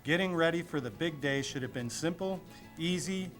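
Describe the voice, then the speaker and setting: A man's voice: fairly high pitch, moderate pace, a bit bright. A man reading a children's storybook aloud in a playful, theatrical way. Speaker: storybook reader. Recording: music playing behind the voice.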